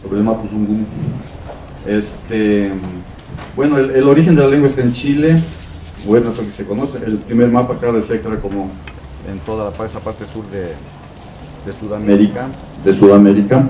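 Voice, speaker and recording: A man's voice: fairly low pitch, normal speed, mellow. Man asking a question from the floor. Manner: searching, restarts and repeats phrases. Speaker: audience member asking a question. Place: conference hall. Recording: echoey hall, distant mic, noisy.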